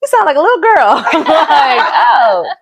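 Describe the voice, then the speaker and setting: A woman co-hosting a casual interview, animated and agreeable, acting out quotes as she shares her own experiences. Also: sits high, unhurried, creaky. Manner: animated, acts out quotes, agreeable back-channeling. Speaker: co-host.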